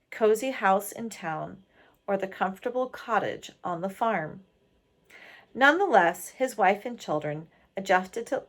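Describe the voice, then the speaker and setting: Female storybook reader, unhurried pace, very animated intonation, speaking to listeners. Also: mid-range voice. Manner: pauses to question listeners. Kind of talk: expressive read-aloud to listeners.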